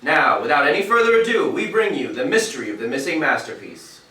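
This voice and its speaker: A showy male radio announcer, played by a stage actor, with a bright, forceful voice that swings widely in pitch. He presents a live mystery broadcast in formal old-time style. The sound is roomy and distant. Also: very animated, a little fast. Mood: showy, welcoming. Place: theatre stage, recorded from a distance. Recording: roomy stage recording from afar, some noise.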